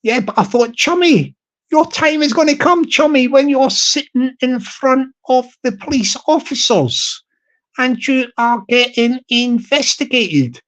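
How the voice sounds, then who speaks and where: A man with a high-pitched tenor voice, chatting to camera in a rambling, repetitive way. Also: expressive, a little slow, fairly bright tone, dull audio. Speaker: YouTuber. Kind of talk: casual monologue to camera.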